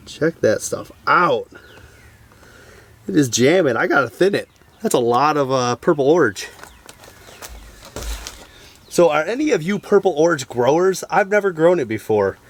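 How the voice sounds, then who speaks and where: Raspy sick-sounding guy chatting away to his viewers in a little greenhouse, upbeat, regular pitch. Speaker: urban homestead vlogger. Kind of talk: casual walkthrough vlog. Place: small backyard greenhouse.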